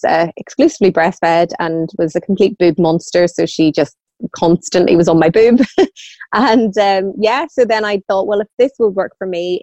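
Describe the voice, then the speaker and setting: A woman's voice: medium pitch, dark tone, dry recording. A woman in her thirties with a Northern Irish accent, chatting casually as a podcast guest recorded at home. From Northern Ireland. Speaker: podcast guest, small business founder. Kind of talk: casual conversational storytelling. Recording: slightly dull home recording.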